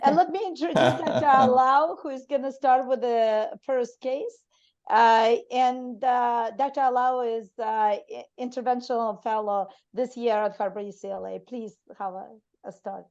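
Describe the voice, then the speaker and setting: Moderator, woman, fairly high voice, warm introductions, muffled remote audio. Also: moderate pace. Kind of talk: introducing speakers.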